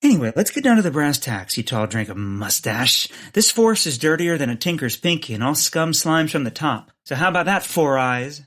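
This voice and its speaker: A man's voice: fairly high pitch, big pitch swings, moderate pace, hardly any pauses, fairly bright tone. A man playing a scatterbrained, earnest amateur sleuth in a comedic audio drama, wisecracking and rambling awkwardly.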